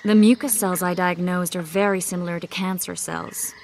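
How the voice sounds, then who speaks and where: An actress playing a knowing, forthright mage and healer in a video game, explaining things in a measured way. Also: female voice, fairly low pitch, steady tone, gravelly, darkish tone, a little hiss or hum. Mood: clinical, matter-of-fact.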